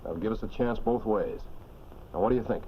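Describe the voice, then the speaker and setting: An actor playing a steady, decisive airplane skipper in a 1940s military film, speaking in a firm, measured way. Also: male voice, fairly low pitch, lightly acted. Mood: firm, resolved. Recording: dull, noisy 1940s film soundtrack.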